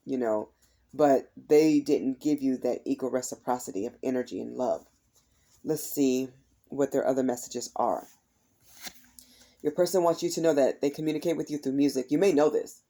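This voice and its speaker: Slightly raspy woman giving a tarot reading. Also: very deep voice, moderate pace, mellow. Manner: chatty, repeats "is what I'm hearing". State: voice tired from heavy use. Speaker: tarot reader. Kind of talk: casual tarot reading to viewers.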